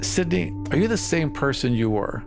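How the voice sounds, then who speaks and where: A man's voice: on the high side, animated, normal speed, creaky, over music, voice pushed up. Man as glib, confident space colony leader. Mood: persuasive. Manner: glib, assured, persuasive. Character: smooth-talking, evasive male colony leader, confident visionary salesman. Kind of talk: improvised tabletop roleplay dialogue.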